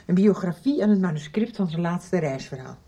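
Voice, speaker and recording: A woman's voice: lowish voice, expressive, a little fast, mellow. An actress playing an anxious, weary middle-aged mother, curt and defensive, in a radio soap. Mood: anxious, irritable. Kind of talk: 1990s radio soap acting. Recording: slightly noisy radio drama recording.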